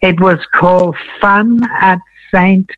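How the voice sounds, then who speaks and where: A man in his eighties from Wales, a veteran entertainer telling his story in a radio interview, slow and meandering with many ums. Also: high-pitched, fairly even intonation, no echo, phone-quality sound. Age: 80s.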